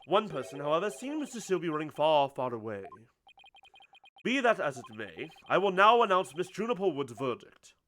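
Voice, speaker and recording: A man plays a stately video game judge. He is solemn and formal, declaiming in a fairly high male voice with lively inflection over faint background noise. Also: normal speed.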